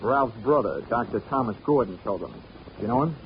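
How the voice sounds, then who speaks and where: Casting: a man as a dry, quick-witted insurance detective, lively delivery, muffled hissy 1940s radio tone. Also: medium pitch, natural voice. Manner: dry, wisecracking. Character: wisecracking male insurance investigator. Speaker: insurance investigator, narrator. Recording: dull old radio recording with hiss.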